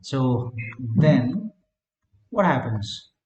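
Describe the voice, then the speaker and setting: A man lecturing a class who often checks in with "right?", repeats words and dictates notes slowly. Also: mid-range voice, very animated, a little mellow. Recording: echoey classroom, dull lo-fi sound.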